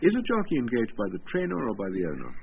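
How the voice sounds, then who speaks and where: Brisk, lively male radio interviewer. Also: mid-range voice, gravelly. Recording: dull old radio recording with faint hiss.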